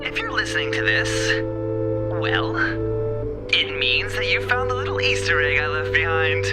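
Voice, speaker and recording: A woman's voice: low-pitched, sing-song, normal speed, loud music behind the voice. A woman playing a smug, sinister mastermind in an audio drama, playful, drawling and condescending. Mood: mock-sweet, teasing. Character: smug, teasing, sinister mastermind on recorded message. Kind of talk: audio drama villain monologue.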